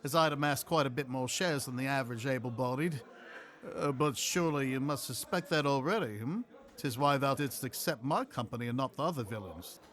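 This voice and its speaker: A man playing a weathered, shrewd, boastful sailor in an audio play, speaking in a rough, weighty, archaic and flirtatious way. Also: medium pitch, a bit bright, close to an everyday voice. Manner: deliberate, weighty, archaic speech.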